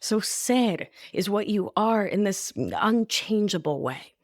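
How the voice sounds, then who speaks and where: A woman's voice: mid-range voice, animated, takes it slowly, no room sound, clean studio sound. A woman from Argentina, a life coach hosting her own podcast, teaching in a playful, affectionate way. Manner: playful, affectionate, laughs often.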